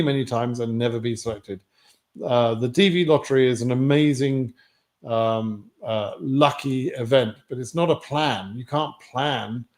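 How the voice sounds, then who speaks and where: A man's voice: medium pitch, darkish tone. A man with a British accent hosting a livestream Q&A, reading out viewer questions and answering them bluntly and matter-of-factly. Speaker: livestream host answering immigration lottery questions.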